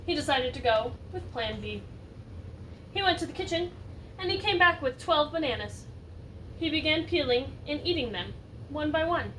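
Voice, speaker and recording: A woman with a high voice reads a children's story aloud in an animated, teacherly way. She engages young listeners with questions and asides. The mic sits at a distance, with light noise behind her. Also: normal speed, pauses often. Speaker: teacher reading a picture book to children.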